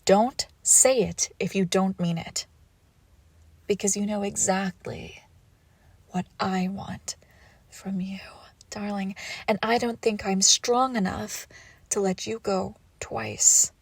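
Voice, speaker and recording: A woman acts out a menacing female crime boss with a wounded lover's heart, in an intimate audio roleplay. She speaks slowly and deliberately, with a creaky edge and breathy drops into whispers. The sound is close and dry, and she stays seductive and commanding. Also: medium pitch, steady tone, no echo. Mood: wounded, longing.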